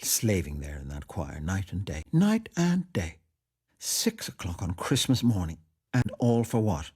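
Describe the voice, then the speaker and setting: A man's voice: sits low, wildly swooping pitch, measured pace, darkish tone, breathy edge, heavily acted. A man voicing a fiery, indignant old aunt in a storybook, emphatic and scolding.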